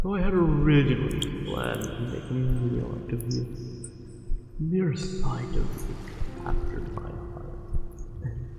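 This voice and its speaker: A man's voice: medium pitch, gravelly, noisy background. An actor playing a smitten angler merman in an ASMR roleplay, speaking formally and hesitantly with ornate, tender courtesy. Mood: formal, earnest. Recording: cavernous echo with long reverb, music behind. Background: music.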